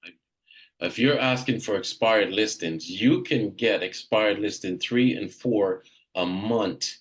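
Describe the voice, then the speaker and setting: A man's voice: on the low side, takes it slowly. A man from Jamaica leading a live coaching session for real estate agents, direct and emphatic, often repeating himself.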